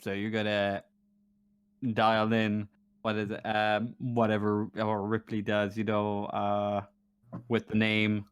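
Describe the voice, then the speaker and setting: A man running a tabletop roleplaying game as game master, talking casually and often halting as he searches for his words. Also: on the low side, completely flat delivery, clear, resonant tone, no room sound.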